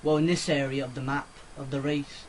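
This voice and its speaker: A man's voice: medium pitch, level tone, fairly quick, a little hiss or hum. A man giving casual, unscripted game commentary, rambling as he repeats and rephrases his explanations. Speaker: let's play host and player. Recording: loud game music over commentary. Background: video game music.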